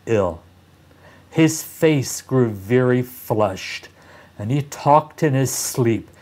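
Slow-paced older man reading a storybook. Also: mid-range voice, darkish tone. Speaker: read-aloud teacher. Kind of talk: expressive children's storybook narration.

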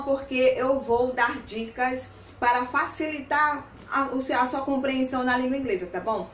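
A young woman with a Brazilian accent teaches in a chatty, encouraging way. Her voice is high-pitched. The sound is echoey and picked up at a distance from the mic, like a camera in a bare room. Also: slight background noise. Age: young adult. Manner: chatty, encouraging, animated with tag questions. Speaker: English teacher and video host. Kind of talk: informal whiteboard lesson to camera. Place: small bare-walled room indoors with whiteboard.

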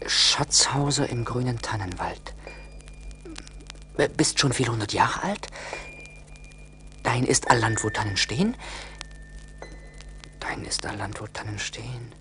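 An actor playing a poor, discontented young charcoal burner in a 1980s radio play, musing theatrically in envy and longing. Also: male voice, mid-range voice, slow, long pauses, sharp tone, a little hiss or hum. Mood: searching. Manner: theatrical, brooding monologue.

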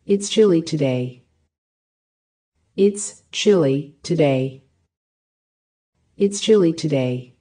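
A woman reading short English phrases for a language lesson, each one repeated with careful, even enunciation. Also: deep voice, slow, pauses often. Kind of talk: slow repeated phrase drills.

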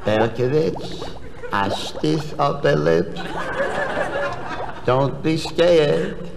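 Anxious grumbling man, slow deliberate comic delivery, very noisy old record. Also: fairly high pitch, animated, vocal fry, crowd noise, exaggerated voice. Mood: anxious, wondering. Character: anxious, thrifty, grumbling everyman, comic customer or shopkeeper. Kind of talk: 1960s comedy record sketch acting. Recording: noisy, reverberant old comedy record before audience.

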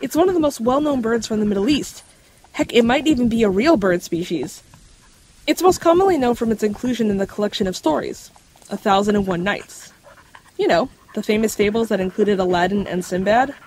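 A woman playing a bookish, cautious young folklore expert in an audio drama, talking in a measured, explanatory way. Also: medium pitch, expressive, darkish tone, natural voice, rough recording.

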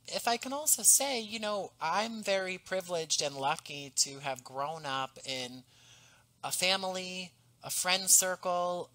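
A man in his thirties talks through a headset microphone on a video call. He sounds thoughtful and earnest, choosing his words with care. His voice has a bright, forward edge. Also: sits high, low-quality recording. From US East Coast. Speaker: podcast creator and guest. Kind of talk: reflective conversational interview. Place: home room, on a video call. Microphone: headset boom mic.

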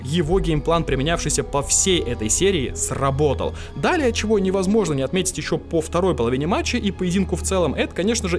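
A man reviewing a basketball game in fast, opinionated commentary, his voice worn from illness. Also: on the high side. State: sick voice.

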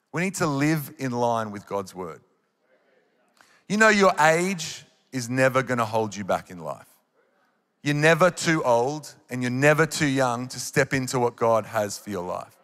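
An Australian man in his thirties preaches on stage, earnest and encouraging. He speaks into a handheld microphone at an average pitch. His voice rings with the long reverb of a big live auditorium. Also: a bit bright. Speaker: worship leader preaching. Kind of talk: sermon. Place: large church auditorium on stage.

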